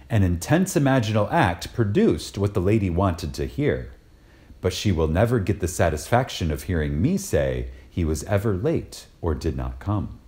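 A man reading a spiritual lecture aloud in a reverent, dramatic style, deliberate and emphatic, with pauses for effect. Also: deep voice, dark tone.

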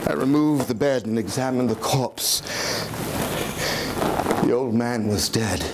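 An older man playing a nervous, mad murderer in an intense, deliberate theatrical reading of gothic horror. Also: mid-range voice, very noisy, lightly acted. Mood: cold, cunning. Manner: intense, deliberate, swinging from hushed to shouting. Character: mad, nervous murderer confessing with gleeful cunning. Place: indoor room in an old house, before small audience.

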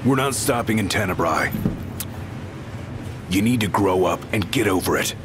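Big gruff warrior, very low voice, blunt, hot-tempered, game cutscene, clear train noise. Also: male voice, animated, normal speed. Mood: angry, scornful. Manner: blunt, confrontational. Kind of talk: video game cutscene acting.

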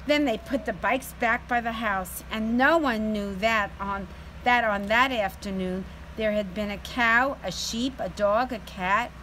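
A middle-aged woman tells a children's story at a very unhurried pace. Her voice sits a little high. She is recorded outdoors, with some noise behind her. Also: a bit bright, rough recording. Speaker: storyteller reading a picture book for children. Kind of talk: slow read-aloud storytelling. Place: outdoor home patio.

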